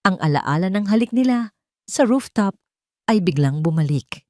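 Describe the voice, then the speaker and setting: A woman's voice: medium pitch, animated, moderate pace, a little mellow, no echo, studio quality. A woman narrating a scripted dramatic story as a storyteller.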